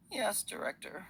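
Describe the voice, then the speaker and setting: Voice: sharp tone, a little hiss or hum. An actor playing a timid, flustered funeral parlor employee in a video game, weary and deferential, hesitant and sighing. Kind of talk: video game character acting.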